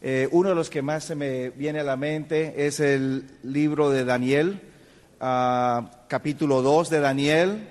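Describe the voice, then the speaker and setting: Male pastor-professor from Colombia, measured, reverberant hall. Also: fairly high pitch, a little mellow. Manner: measured, explanatory. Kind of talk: panel discussion.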